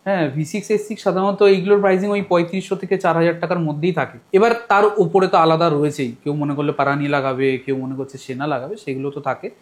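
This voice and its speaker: Shop guy explaining helmet stuff real fast, matter of fact, bit high voice. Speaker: shop owner. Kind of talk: explaining products.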